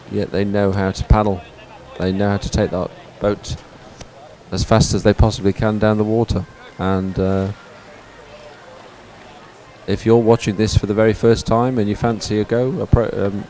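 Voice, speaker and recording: A man's voice: lowish voice, warm tone. A man giving chatty, encouraging live commentary as a sports commentator at a boat race. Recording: outdoor background noise.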